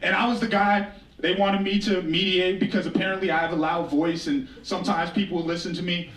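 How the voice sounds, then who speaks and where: A roomy, echoing recording of an American man giving a live talk, picked up from a distance. His voice sits quite high, and he speaks like an informal storyteller, with wry humour and repeated phrases for emphasis. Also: steady tone, brisk, fairly bright tone. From United States, Northern Plains. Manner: conversational, rhetorical repetition, wry humour. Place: indoor stage talk in a roomy venue. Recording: roomy echo, distant microphone.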